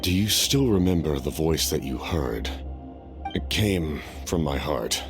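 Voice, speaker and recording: Very deep, solemn ancient captain. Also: male voice, expressive, over music. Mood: grave, resolved. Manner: grave, deliberate, formal. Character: solemn, weary, centuries-old male captain seeking his death. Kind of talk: dramatic video game acting.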